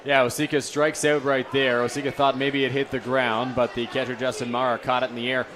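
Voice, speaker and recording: A man's voice: medium pitch, little pitch movement, a little fast, a bit bright. A man giving enthusiastic, emphatic color commentary on a live baseball game at an outdoor park. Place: outdoor ballpark. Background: crowd noise.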